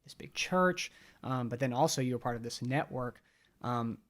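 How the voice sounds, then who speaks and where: A man's voice: mid-range voice, normal speed. A man hosting a casual podcast interview, upbeat and enthusiastic. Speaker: podcast host.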